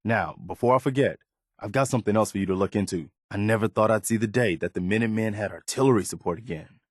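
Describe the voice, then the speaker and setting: A man's voice: fairly low pitch, fast, slightly rough, dry recording, clean studio sound. A man playing an earnest, idealistic and loyal militia soldier in a video game, speaking plainly and conversationally. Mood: earnest, thoughtful. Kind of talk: video game companion dialogue, naturalistic acting.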